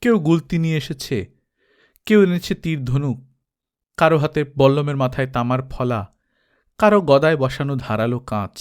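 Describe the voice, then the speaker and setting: A man reading an adventure novel aloud as narrator, steady and dramatic. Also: mid-range voice, normal speed, long pauses, clear, resonant tone. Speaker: audiobook narrator. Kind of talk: storybook reading.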